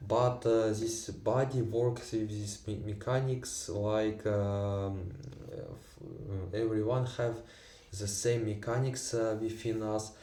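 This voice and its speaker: Slow halting guy, tatar and russian native speaking english, low mellow voice, roomy sound a bit off mic. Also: fairly even intonation, far from the mic, a little hiss or hum. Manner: halting, many fillers and restarts, non-native English. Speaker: vlogger. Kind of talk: unscripted personal monologue.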